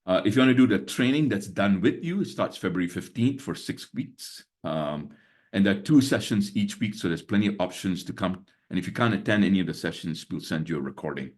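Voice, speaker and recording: Low-pitched man, sixties, grew up in Singapore, webinar host, chatty, lots of fillers, video call. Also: lively intonation, brisk, darkish tone. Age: 60s. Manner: chatty, many fillers, chuckles between points. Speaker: webinar host and business mentor. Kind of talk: casual live webinar talk.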